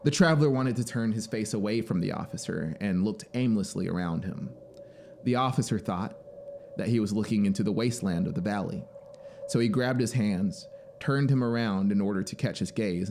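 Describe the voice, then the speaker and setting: A man in his thirties reading a literary story in a measured, deliberate, theatrical way into a close studio mic. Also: on the low side, a little mellow, a little hiss or hum. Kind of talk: dramatic literary audiobook narration over a soundscape. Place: small home music studio. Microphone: close studio mic on boom arm, headphones. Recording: clear background synthesizer ambience. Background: synthesizer soundscape.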